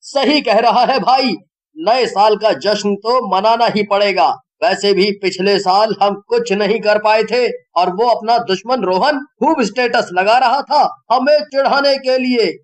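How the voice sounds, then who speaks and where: A woman voicing a cheeky, excitable young man who loves parties, whiny and full of exclamations. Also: medium pitch, a little slow, pitched above the performer's own voice, natural voice. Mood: eager.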